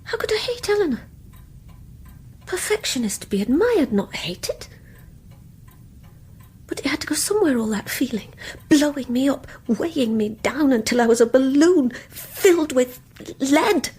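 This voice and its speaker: An actress playing a guilt-ridden, envious woman confessing in an intense radio play performance, with a breathy voice. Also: high voice, big pitch swings, moderate pace. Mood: distraught. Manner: intense, wavering between hushed confiding and anguished outburst. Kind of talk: radio play acting, dramatic confessional monologue.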